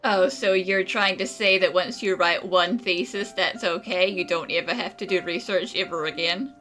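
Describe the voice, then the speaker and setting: A woman's voice: on the low side, normal speed, a bit bright, soft background music, close to an everyday voice, rough recording. An actress playing a wry, teasing woman in a video game, speaking sarcastically. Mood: sarcastic. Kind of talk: video game character dialogue.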